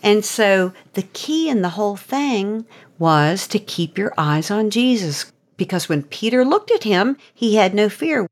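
A woman with a very lively, expressive pitch range teaches like a devotional host. She is earnest and instructive, explaining things conversationally and steering with rhetorical questions. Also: measured pace. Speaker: host and storyteller.

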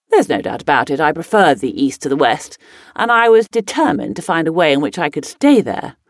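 A woman's voice: mid-range voice, no echo, clean studio sound, natural voice. An actress playing a spirited, headstrong upper-class lady explorer who looks back in a genteel, emphatic dramatic monologue. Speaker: actor reading a monologue. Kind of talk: scripted first-person dramatic monologue.

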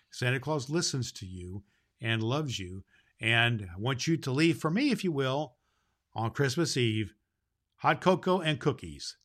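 Man playing a bright, jolly Santa Claus. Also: medium pitch. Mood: warm, inviting. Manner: folksy, rambling, repeats phrases for emphasis. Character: jolly, kindly Santa Claus greeting children. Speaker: host. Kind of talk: children's holiday show hosting.

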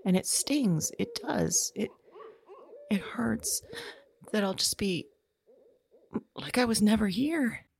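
A husky, creaky-voiced woman played by an actress in an audio drama, frightened and anguished as she waits to be taken away. She speaks slowly and haltingly, repeating herself and slipping into whispers, very close and dry. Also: mid-range voice, sing-song, no room sound, full character voice. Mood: hushed despair. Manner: halting, repetitive, often breaking into whispers. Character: frightened childless woman awaiting arrest, anguished, wavering.

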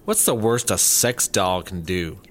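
A woman's voice: extremely low pitch, animated, measured pace. A woman playing an exasperated, skeptical rancher turned reluctant startup worker in a comedy audio drama.

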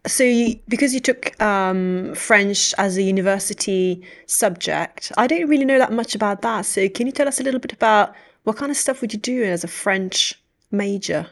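A middle-aged woman hosts a podcast and interviews her guest. Her voice sits at an ordinary middle pitch. She talks in a measured, steady way as she asks her questions.

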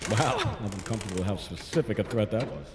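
An actor playing the anxious, exasperated boss of a science team in an audio drama, dry and sarcastic. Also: male voice, fairly low pitch, very animated, fast. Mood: dry, wry. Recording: echoey reverberant lab, faint noise.

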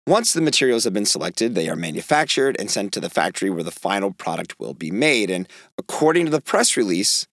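A middle-aged man talks through a cold, his voice raspy and hoarse as he delivers opinionated podcast commentary. His pitch swings widely with emphatic, wry stresses on key words. The sound is dry and close, with no room around it. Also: very animated, brisk, hardly any pauses, a bit bright, dry recording. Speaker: podcast host.

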